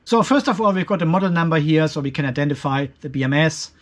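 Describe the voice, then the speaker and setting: Man in his fifties, high voice, casual video host, emphatic step-by-step explainer. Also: expressive, fast talker. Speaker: host of an off-grid solar and battery channel. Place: cluttered garage workshop.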